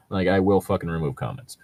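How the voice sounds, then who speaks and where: A man with a low voice talks in a loose, conversational way, recorded close and dry. He rambles and hedges as he comments, swearing casually along the way. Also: gravelly, a little mellow, dry recording. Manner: rambling, hedging, casually profane. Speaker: co-host reacting to read-out stories. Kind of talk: casual chat and commentary on a read story.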